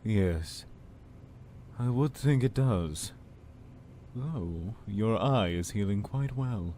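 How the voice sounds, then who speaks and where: A manipulative cult lord played by a man speaks slowly and deliberately in a fairly low voice that rises and falls dramatically. He is falsely tender and condescending, a formal radio-play tyrant, over a faintly noisy recording. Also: animated, warm tone. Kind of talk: dramatic audio drama acting.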